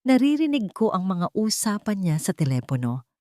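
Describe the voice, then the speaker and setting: A woman's voice: medium pitch, big pitch swings, normal speed, warm tone, no room sound, clean studio sound, lightly acted. Woman voicing a desperate, trembling uncle. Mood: tearful, dread. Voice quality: trembling, breaking voice. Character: terrified pleading uncle, weepy and desperate man.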